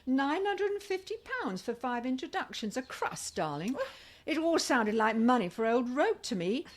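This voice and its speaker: A chummy British woman friend, acted out for a radio comedy. Her voice is a little high and very animated. She is breezy, wry and bluntly teasing. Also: moderate pace. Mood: wry, incredulous. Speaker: actor in a radio play. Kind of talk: radio comedy play acting.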